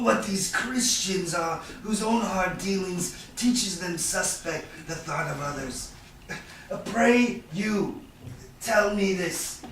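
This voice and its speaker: Man acting a high-voiced bitter moneylender, echoey room. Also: fairly bright tone, heavily acted. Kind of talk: staged reading of Shakespeare. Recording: distant, echoey room with background noise.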